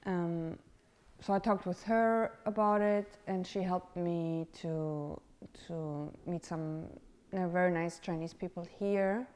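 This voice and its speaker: Hesitant woman, low slow voice. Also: fairly even intonation, a little mellow. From Romania. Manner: hesitant, searching for words with many fillers. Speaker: interviewee, artist in residence. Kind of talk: casual interview answers.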